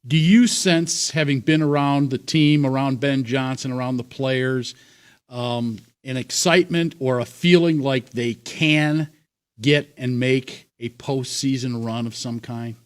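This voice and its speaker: A man's voice: medium pitch, a little slow, clean tone. Man hosting a sports talk show. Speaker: show host. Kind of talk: casual sports talk interview.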